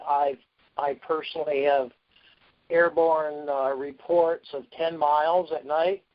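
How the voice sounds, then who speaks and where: A man with a slightly high voice talks over a muffled, lo-fi conference line. He pitches like a company executive, plain-spoken and salesman-like. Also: little pitch movement, slow pace. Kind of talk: conference call product pitch. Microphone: phone. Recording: dull lo-fi conference phone line.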